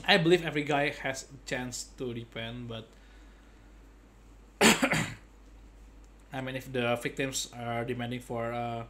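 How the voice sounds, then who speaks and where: Male streamer, young adult, casual commentary, halting repeats, noticeable background noise. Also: mid-range voice, a bit bright. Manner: halting, repetitive phrasing. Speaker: game streamer. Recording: clear background noise, game ambience.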